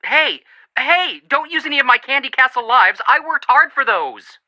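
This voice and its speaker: An actor voicing an excitable, flippant young male amateur detective in a video game, joking in an exaggerated, mock-dramatic way. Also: very high voice, moderate pace, no echo, lo-fi sound, heavily acted. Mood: playful outrage. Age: young adult.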